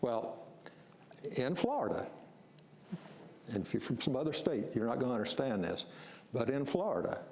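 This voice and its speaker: A man's voice: mid-range voice, vocal fry, darkish tone. A man running a public planning meeting, explaining things firmly and repeating words as he goes. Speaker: strategic planning facilitator. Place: city meeting room. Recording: echoey meeting room, faint noise.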